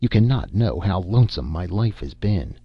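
A man's voice: sits low, big pitch swings, moderate pace, gravelly, slightly rough, heavily acted. A man voicing a wealthy, lonesome father, exclamatory and flustered, in a storybook reading. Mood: earnest, hopeful. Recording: dull, roomy amateur audiobook recording.

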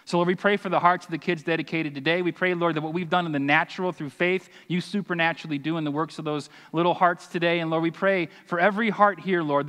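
Earnest preacher with a slightly high voice. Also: male voice, fairly bright tone. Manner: earnest, emphatic, with call-and-response asides. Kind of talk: sermon with scripture reading and prayer. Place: church stage, large hall. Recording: long reverb of a large hall.

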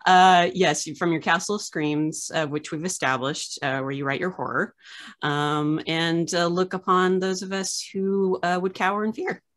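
Low-voiced chatty woman host. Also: fairly even intonation, moderate pace, fairly bright tone. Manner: chatty, many filler uhs, self-deprecating jokes. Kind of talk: casual video-call interview. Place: home room with framed art on the wall.

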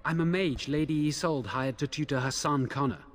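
Man acting anxious, high-voiced young mage prisoner. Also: moderate pace, close to an everyday voice. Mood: resigned. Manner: hesitant, pleading. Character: imprisoned young mage, anxious, guilty, defensive. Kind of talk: video game voice acting.

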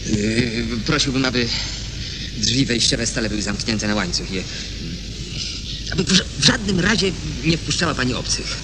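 An actor in a radio drama playing a fearful, obsessive man who talks haltingly and repeats his words. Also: mid-range voice, expressive, close to an everyday voice. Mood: nervous, halting. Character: agitated, fearful, obsessive man convinced of a poisoner. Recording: heavy background noise behind dialogue.

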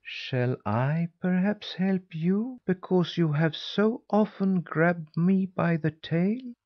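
A man voicing a sly, quietly spiteful male cat who speaks softly, in a storybook reading. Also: sits high, expressive, lo-fi sound, pitched above the performer's own voice, full character voice. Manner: soft-spoken, unhurried.

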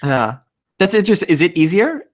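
A man's voice: sits high, big pitch swings, a little fast. A young man hosting a podcast over a video call from a small room at home, talking thoughtfully with frequent restarts and fillers. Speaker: podcast host. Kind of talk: casual video-call interview. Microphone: studio mic with headphones.

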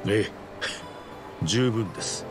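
A cool, calculating agent in a video game drama. He speaks in a low register with formal, dry courtesy and steady composure. Loud music swells behind him. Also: slow pace. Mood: probing, polite. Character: cool, polite, calculating male handler from a shadowy organisation.

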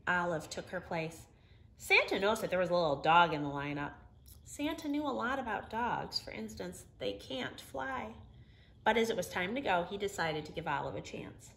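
A middle-aged woman reading a children's story aloud in an expressive, emphatic storytelling manner. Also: on the low side, normal speed, vocal fry, a little hiss or hum. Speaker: storybook reader. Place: living room, indoors.

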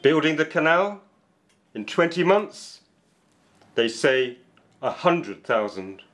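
A middle-aged man, a poet, giving a deliberate, solemn reading of his work in a small cafe. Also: on the high side, sing-song, a bit bright. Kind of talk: dramatic poetry reading for two voices. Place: small indoor cafe with bookshelves.

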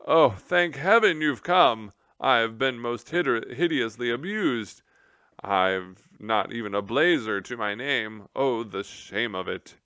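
A man's voice: medium pitch, lively intonation, slow pace, pushed and bright, smooth, no echo, pitched above the performer's own voice, heavily acted. A man voicing a pompous, self-pitying old male mouse general who talks in a grand, theatrical wail. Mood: distraught. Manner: theatrical, wailing, grandly indignant.

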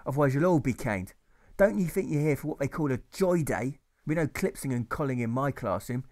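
A man voicing a furious, authoritarian old schoolteacher who yells and rants in old-fashioned speech. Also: medium pitch, animated, fairly quick, close to an everyday voice. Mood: demanding. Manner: ranting, yelling, archaic speech.

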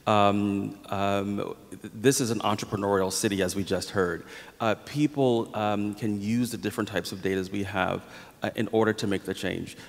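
A man's voice: fairly low pitch, fairly even intonation, moderate pace, creaky. A man on a discussion panel on a theater stage, speaking earnestly with many ums. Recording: long hall reverb from a theater.

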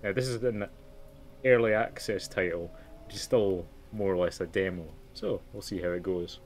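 A man's voice: low voice, steady tone, normal speed, darkish tone, slight background noise. A man giving let's play commentary as he hosts a story game. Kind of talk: gameplay commentary mixed with reading aloud.

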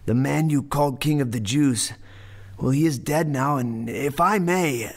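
A man's voice: medium pitch, moderate pace, close to an everyday voice. A man playing a deferential, nervous councilman in an amateur radio drama, speaking hesitantly and politely. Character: deferential, nervous councilman petitioning a Roman governor.

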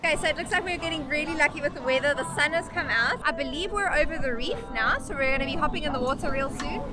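A woman's voice: sits high, fast, over a noisy crowd. A woman in her thirties, an upbeat travel vlogger narrating on a catamaran deck. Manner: upbeat, enthusiastic. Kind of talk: casual travel vlog narration. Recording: music playing behind.

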